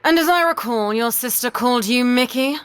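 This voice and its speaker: A woman's voice: fairly high pitch, a little fast, clear, resonant tone, dry recording, lightly acted. A woman playing a stern, cold ship's captain in an audio drama, curt and imperious. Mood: suspicious, threatening.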